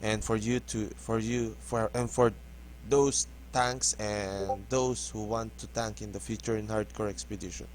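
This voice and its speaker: Filipino man, low voice, halting game commentary. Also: level tone, slight background noise, poor audio. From Philippines. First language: Filipino language. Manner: hesitant, halting, restarts words often. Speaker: gaming tutorial host. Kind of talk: casual gameplay commentary.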